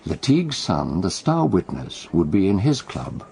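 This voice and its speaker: A shrewd armchair detective in a radio play. His voice is very deep and gravelly, yet lively in inflection. He explains crimes in a dry, knowing, measured way. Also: slight background noise, exaggerated voice. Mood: knowing, explanatory. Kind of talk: radio drama acting.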